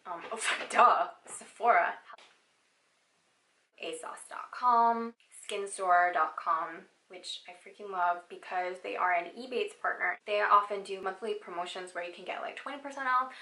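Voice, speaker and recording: Bright, sassy vlogger woman in her thirties. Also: medium pitch, roomy sound, poor audio. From Southern California. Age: 30s. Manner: sassy, playful, exclamatory. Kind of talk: chatty get-to-know-me Q&A. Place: home, sitting down.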